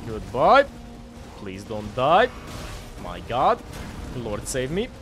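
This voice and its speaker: A young man with a Croatian accent, excitedly commenting on his game live, swearing at bosses and chatting with viewers through a headset. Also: mid-range voice, takes it slowly, soft background music. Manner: excitable, swears and laughs while fighting bosses. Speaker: game streamer. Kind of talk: live gameplay commentary reading chat. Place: home streaming room, indoors.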